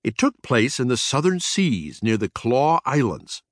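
A man voicing a brash, mischievous mouse cousin in a children's storybook, squeaky and giggly, with cocky, teasing delivery. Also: sing-song, a little slow, dry recording, clean studio sound. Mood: eager, scheming. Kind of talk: acted children's storybook dialogue.

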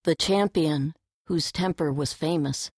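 A woman's voice: low voice, unhurried, darkish tone, slightly rough, no room sound, clean studio sound. A woman reading scripted story narration for an audiobook.

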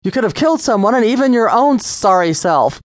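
A reader voicing a stern, fed-up male police officer who shouts commands and lectures a speeder in a storybook reading. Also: medium pitch, wildly swooping pitch, moderate pace, no echo, studio quality. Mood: commanding. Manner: barking commands, then lecturing.

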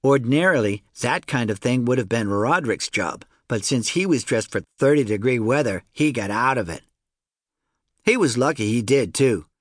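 Male narrator, children's audiobook, animated intonation, medium pitch, conversational first-person storytelling, dry recording. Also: normal speed, dry recording.

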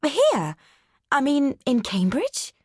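A woman's voice: high-pitched, huge pitch swings, takes it slowly, no echo, studio quality, pitched above the performer's own voice, heavily acted. A woman voicing an earnest, slightly flustered young woman setting out on a journey. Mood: surprised.

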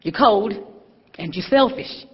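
A woman's voice: medium pitch, animated, slow, breathy edge, big-room sound, dull audio, heavily acted. An actress playing a stern, churchgoing mother who scolds in folksy, emphatic speech. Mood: angry, wounded. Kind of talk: stage drama acting.

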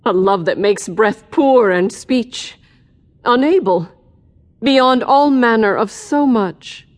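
An actress playing a flattering, formal princess, measured and declamatory, in a Shakespearean audio drama. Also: female voice, fairly high pitch. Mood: solemn flattery. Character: king's eldest daughter, a flattering, formal noblewoman.

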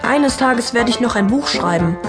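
A woman's voice: fairly high pitch, expressive, moderate pace, music in the background, lightly acted. A woman playing a put-upon younger brother in a children's audio drama, grumbling in a mock-grand, wry way. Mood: wry, aggrieved. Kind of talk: German children's audio drama acting and narration.

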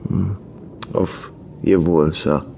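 An actor playing a gruff, reclusive landlord who is cold and mocking, talking in curt, clipped sentences in a radio drama. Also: male voice, low voice, fairly even intonation, very slow, exaggerated voice. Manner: curt, clipped sentences, sudden hushed menace. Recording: noisy room ambience.

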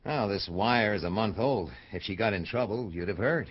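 An actor playing a hard-boiled, wisecracking American detective, laconic and dry, in dialogue and noir narration for a radio drama. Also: male voice, fairly low pitch, normal speed, breathy edge, muffled recording. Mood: businesslike.

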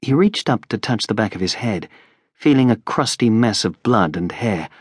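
A man's voice: lowish voice, animated, moderate pace, husky, no room sound, studio quality. A man reading scripted fantasy narration as an audiobook narrator.

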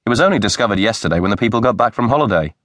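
A male audiobook narrator voicing a calm, patient detective who is faintly smug. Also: lowish voice, racing, no room sound, clean studio sound.

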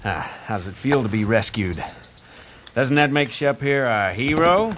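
An actor playing a shrewd, mocking outlaw leader in a western audio drama, with a sardonic folksy drawl. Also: male voice, medium pitch, lively intonation, measured pace, noisy background, natural voice. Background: horses and hoof clicks.

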